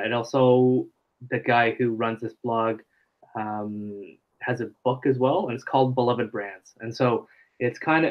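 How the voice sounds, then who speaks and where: A man's voice: medium pitch, muffled recording. A man presenting a marketing webinar over a video call, explaining loosely with lots of fillers. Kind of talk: casual live webinar teaching.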